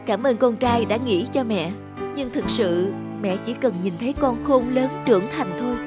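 A woman's voice: on the high side, moderate pace, natural voice. A woman acts out a middle-aged single mother in a cartoon drama. She is modest and reluctant, earnest in her speech and tender toward her child. Music plays behind her. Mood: tender, resigned. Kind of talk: animated moral drama acting.

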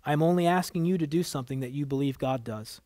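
A man voicing a wise divine woman judge in a story, measured, solemn, firm and tender. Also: a little high, dark tone, no echo, studio quality, natural voice. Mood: calm, stern.

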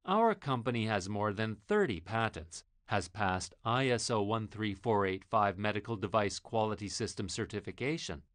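A man's voice: on the low side, fairly bright tone, no room sound. A man narrating a scripted company promotional video in a formal presentational tone. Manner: reads company facts and lists in a formal presentational tone. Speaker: corporate voice-over narrator.

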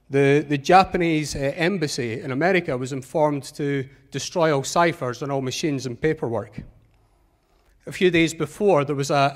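Scottish man giving a conference talk. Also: fairly high pitch. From Scotland. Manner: measured, earnest presenting, walking the stage. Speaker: conference speaker. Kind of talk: keynote talk on stage. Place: large auditorium stage.

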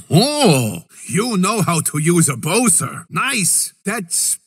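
An actor playing a hearty, gallant middle-aged explorer hero in a video game, delivering battle lines with an emphatic, theatrical, gentlemanly manner and a husky voice. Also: male voice, high voice, sing-song, very slow, exaggerated voice. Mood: wry, musing.

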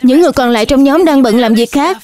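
A woman's voice: high voice, fast, darkish tone. A woman reading scripted cartoon dialogue and narration as a dub voice artist. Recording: music under the voice.

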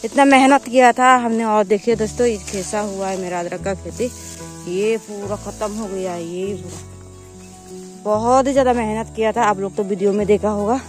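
A woman's voice: mid-range voice, fairly even intonation, normal speed. Northeast Indian woman vlogging casually over music. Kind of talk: casual vlog narration to viewers. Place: outdoors in a rural village. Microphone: phone at arm's length.

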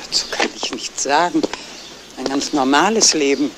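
A woman's voice: low voice, moderate pace, lightly acted. An actress playing a stern, guarded middle-aged woman with clipped, formal, defensive answers in a 1970s German crime radio play. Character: stern, guarded sister of a dead man, former nurse. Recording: noisy old radio play recording.

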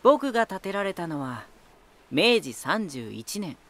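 An actor playing a teasing, talkative lighthouse spirit in an anime-style voice drama, boyish and self-assured with an unsettling edge. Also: male voice, high voice, big pitch swings, measured pace, fairly bright tone, heavily acted. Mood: relaxed, proud. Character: playful male lighthouse spirit, teasing, talkative, eerily battle-hungry.

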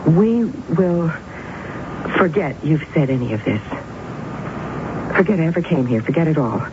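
An actress playing an intense, anguished middle-aged woman in a radio drama, with a dramatic, halting delivery. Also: deep voice, animated, noisy background. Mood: pleading. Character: anguished, intense woman narrating her inner turmoil. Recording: tinny, band-limited radio recording with music.

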